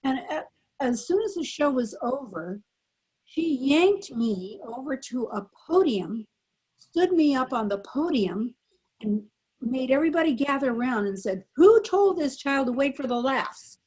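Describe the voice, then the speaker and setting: A woman's voice: high voice, unhurried, slightly rough, poor audio. An older woman, a former child radio actor, telling stories as a panel guest on a video call. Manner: animated storyteller, acts out quoted lines. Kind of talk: anecdotal storytelling.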